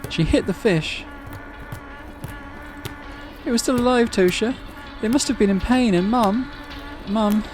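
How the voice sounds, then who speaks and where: A man's voice: sits high, a little slow, long pauses, music in the background, voice pushed up. A man voicing an anxious, pouting little sister in a visual novel, childish and plaintive. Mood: frightened, distressed. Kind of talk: visual novel character read-aloud.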